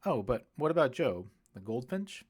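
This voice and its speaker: A man voicing a curious teenage girl, lively intonation. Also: mid-range voice, normal speed, husky. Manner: questioning, direct. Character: curious, moody teenage girl, a young witch. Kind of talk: audiobook character dialogue.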